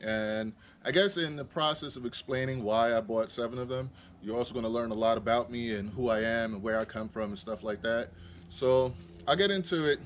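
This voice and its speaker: A man's voice: mid-range voice, brisk, a little hiss or hum. A young adult man from Trinidad and Tobago, telling a rambling personal story as a vlogger, full of fillers and restarts. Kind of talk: casual storytelling. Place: in a vehicle.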